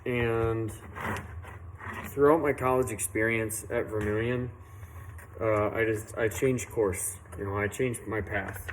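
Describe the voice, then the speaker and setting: Brief: young working man from Illinois, low voice, plain and earnest, recorded outside with faint noise. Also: fairly even intonation, rough recording. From western Illinois, USA. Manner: unhurried, earnest, with many fillers and restarts. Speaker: tree service owner. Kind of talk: casual personal story to camera. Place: outdoors at a work site, doing saw maintenance.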